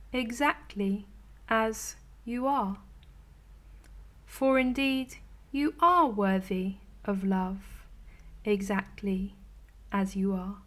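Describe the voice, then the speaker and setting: A woman leading a guided meditation in a soothing, gentle, unhurried manner with long pauses between phrases. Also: on the high side. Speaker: meditation teacher.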